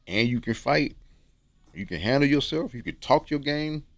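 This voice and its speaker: A man from Texas with a deep, resonant voice, giving rambling, slangy self-improvement advice to camera and often tagging "okay?". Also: animated, normal speed, dry recording, soft background music. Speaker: dating and self-improvement vlogger. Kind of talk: casual talk to camera. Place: room with books on shelves.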